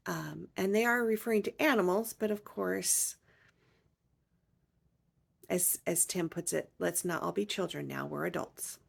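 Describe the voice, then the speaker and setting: A woman chatting casually to viewers as a vlogger, halting and informal as she introduces a clip. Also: lowish voice, gravelly, husky.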